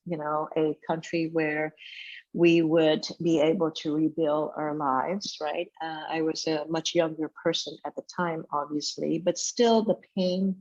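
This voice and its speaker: A middle-aged woman from Vietnam, a chef and restaurant owner, talking casually in an interview with many pauses and fillers. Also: low-pitched, level tone, a little mellow, dull audio.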